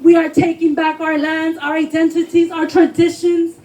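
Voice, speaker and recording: High-voiced woman performing impassioned spoken word. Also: flat delivery, moderate pace, a little mellow. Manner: impassioned, declamatory, emphatic. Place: live poetry reading before a responsive audience.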